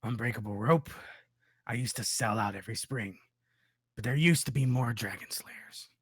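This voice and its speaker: A man's voice: mid-range voice, moderate pace, slightly rough, no echo, pitched below the performer's own voice, natural voice. A man voicing a sly, shrewd goblin merchant in a dry, knowing, understated manner at a script table read. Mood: wistful, musing.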